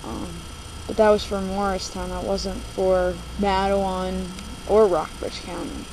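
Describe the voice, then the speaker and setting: A young American woman around twenty talking casually and confessionally in a video blog, halting and subdued, recorded on a laptop. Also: medium pitch, flat delivery, darkish tone. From United States, born in New Jersey, moved to Virginia. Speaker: video blogger. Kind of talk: confessional casual vlog talk. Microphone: laptop microphone. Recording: noisy amateur laptop recording.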